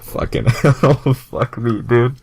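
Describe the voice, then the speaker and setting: A man's voice: mid-range voice, steady tone, slow pace, vocal fry, darkish tone, slightly rough. A man reading fan fiction aloud as an amateur narrator, with sweary, self-mocking, exasperated asides.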